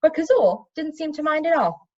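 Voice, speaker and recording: A woman reading a fantasy novel aloud as narrator, in an expressive storybook style. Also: high-pitched, normal speed, muffled recording.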